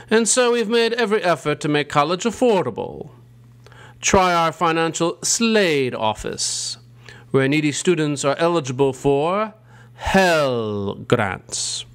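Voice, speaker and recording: A man playing a ghoulish, gleefully punning college dean who hosts a campy horror show with theatrical, drawn-out delivery. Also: a little high.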